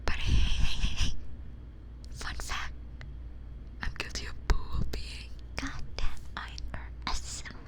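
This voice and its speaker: A woman streamer chatting casually in a breathy ASMR whisper close to a binaural mic, informal and sweary, often repeating her phrases. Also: takes it slowly, pauses often. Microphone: close binaural ear microphone.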